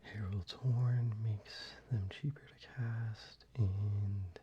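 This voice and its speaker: A man whispering softly and unhurriedly, with fillers, as he shows cards to the viewer for ASMR. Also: lowish voice, steady tone, extremely slow, dull audio, a little hiss or hum. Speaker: ASMR creator. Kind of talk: soft-spoken ASMR card deck showcase.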